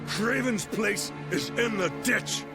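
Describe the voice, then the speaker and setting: An actor playing a severe village elder and guardian of custom in a fantasy video game, solemn and commanding. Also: male voice, sits high, slow, creaky, over loud music, full character voice. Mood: stern, outraged.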